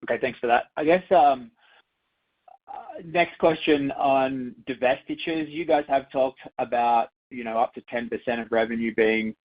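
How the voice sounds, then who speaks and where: A man with a mid-pitched voice asks questions as an analyst on a business call. He speaks in a conversational, professional register. The sound is somewhat muffled and limited, as on a conference line. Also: fairly even intonation, moderate pace. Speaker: financial analyst caller. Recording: dull, band-limited call audio.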